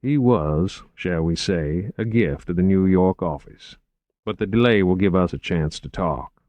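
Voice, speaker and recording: A man voicing an urbane, unhurried investigator in an audiobook, genteel and measured with dry wit. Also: low-pitched, darkish tone, no echo, lo-fi sound. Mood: relaxed, wry. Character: urbane, unhurried, drily witty male investigator. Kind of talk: audiobook character acting.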